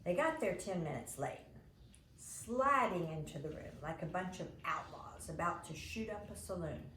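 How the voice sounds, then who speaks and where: A woman reading a children's novel aloud in an expressive, animated way, dramatizing as she narrates. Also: on the low side, moderate pace. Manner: animated, dramatizing the characters' lines. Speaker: storybook reader. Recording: echoey room with faint background noise.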